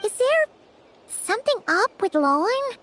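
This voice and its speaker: A woman voicing a tiny, curious, excitable girlish companion who asks surprised questions in a game. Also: very high voice, unhurried, a little hiss or hum, full character voice.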